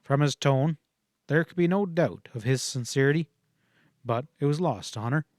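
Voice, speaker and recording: A man's voice: a little high, normal speed, a little mellow, no echo, clean studio sound. A man reading a western novel aloud as scripted audiobook narration.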